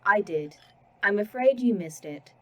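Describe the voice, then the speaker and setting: A woman voicing a calm female AI that runs a secret facility, speaking in a precise, dry manner in an audio drama. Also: mid-range voice, a little slow. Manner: precise, dry, oddly proud of hobbies.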